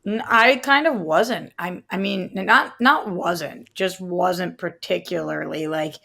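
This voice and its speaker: A woman speaks as a co-host in a relaxed movie discussion. Her voice sits fairly low with plenty of vocal fry. She hedges and rephrases as she goes, in a conversational manner. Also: slow pace. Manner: hedging, conversational, quotes own thoughts. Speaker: podcast co-host.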